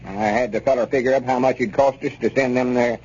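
A quaint old Southern country schemer, acted by a man in a 1930s radio comedy, with a mid-pitched voice. He is folksy, fretful and self-important. The recording is narrow, with light noise behind him. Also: steady tone, fast, a little mellow, lightly acted. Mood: worried, weary. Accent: rural Southern US. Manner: folksy, grumbling, self-satisfied. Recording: noisy, band-limited 1930s radio broadcast.

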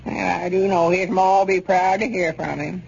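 A man playing a crotchety, folksy old country grandpa with a rural Southern accent and a creaky voice in a 1930s radio comedy serial. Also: high voice, level tone, normal speed, a little mellow. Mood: curious, puzzled. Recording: dull, noisy old 1930s radio recording.

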